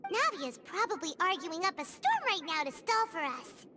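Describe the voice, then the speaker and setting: A woman's voice: very high voice, big pitch swings, moderate pace, over music. A woman voicing a small, eager, chatty fairy companion in a video game. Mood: thoughtful.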